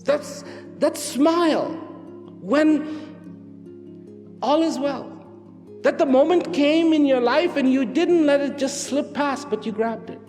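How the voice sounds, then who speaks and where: A man giving a reflective public talk, slow and emphatic, swinging from loud declarations to hushed, almost whispered lines. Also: extremely high pitch. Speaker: speaker. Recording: long hall reverb with faint music.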